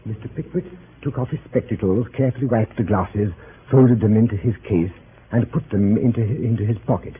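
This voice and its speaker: An actor playing a club member who narrates a 1930s radio drama in a formal, wry manner. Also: male voice, on the low side, a little fast, phone-quality sound, close to an everyday voice. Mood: wry, measured. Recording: thin noisy 1930s radio broadcast.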